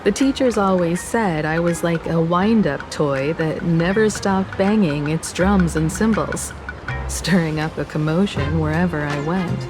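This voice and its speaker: A woman voicing a composed, wistful young woman in a game story, talking in a measured, reflective way. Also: on the low side, over music, natural voice. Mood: fond, nostalgic. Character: composed, wistful young woman, former scrappy martial artist student. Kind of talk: scripted game story dialogue.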